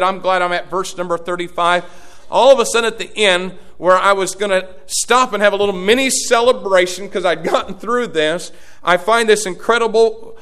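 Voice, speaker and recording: A man's voice: high-pitched, fairly bright tone, smooth. A man, preaching a sermon as pastor, his delivery swelling and dropping in volume. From Indiana, USA.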